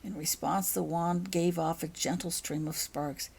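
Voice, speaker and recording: A woman's voice: on the low side, steady tone, unhurried, gravelly, a little mellow, slightly rough. A woman author reading her own story aloud as scripted audiobook narration.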